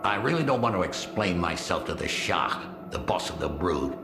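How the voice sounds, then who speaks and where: A gruff, suspicious game trader with a low voice that swings lively from curt to sardonic. The room echoes around him and music plays behind. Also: male voice, normal speed. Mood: businesslike, edgy. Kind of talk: video game dialogue. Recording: roomy echo, music behind.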